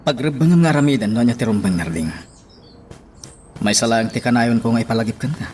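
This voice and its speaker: An actor playing a concerned friend urging caution in a radio drama. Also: male voice, medium pitch, fast talker, a little mellow, slight background noise, lightly acted. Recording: music bed under the voice.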